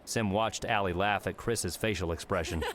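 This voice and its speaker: A man with a low, deep voice narrates a story in the manner of an audiobook. The reading is scripted, and there is noise behind the voice. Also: moderate pace, a bit bright.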